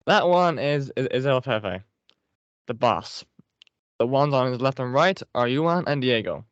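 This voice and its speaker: A man's voice: medium pitch, expressive, a little slow, dry recording, muffled recording, lightly acted. A man voicing a weary male paper-ball general who speaks gloomily and sarcastically. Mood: gloomy.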